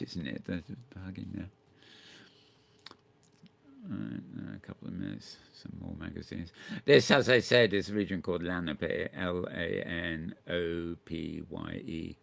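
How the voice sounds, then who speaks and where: Gravelly low-voiced man chatting. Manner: chatty, rambling, many restarts and stammers. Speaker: host. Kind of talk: casual chat.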